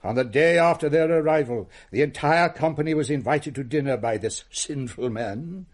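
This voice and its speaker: An English actor playing a jovial middle-aged Victorian uncle in a radio drama, telling ghostly tales with grandiloquent relish. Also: male voice, a little high, moderate pace, lightly acted. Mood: relishing, ominous. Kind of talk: melodramatic radio drama storytelling.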